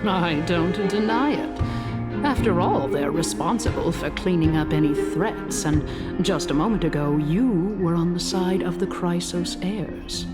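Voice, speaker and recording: A woman's voice: lowish voice, unhurried, close to an everyday voice. An actress playing a scheming noblewoman who leads a council in a fantasy game, courtly, poised and theatrical. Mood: smooth, sly. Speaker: voice actor. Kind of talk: scripted fantasy game dialogue. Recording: loud game music behind the voice.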